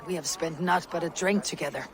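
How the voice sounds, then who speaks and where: A woman's voice: fairly low pitch, brisk, a little hiss or hum, natural voice. A woman playing a stoic, wry Viking warrior in a dramatic game cutscene, with terse delivery. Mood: wry, warm.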